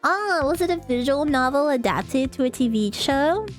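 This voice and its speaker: A woman's voice: sits high, expressive, normal speed, faint music behind. A woman streaming a visual novel, reading its narration aloud expressively and adding playful, amused casual reactions.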